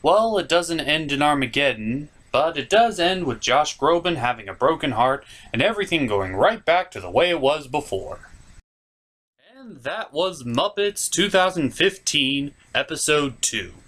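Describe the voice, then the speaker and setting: A man's voice: a little high, sing-song, unhurried, a bit bright. A man hosting a comedic review, narrating a recap in a theatrical way with playful asides. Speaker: host of a puppet show review series.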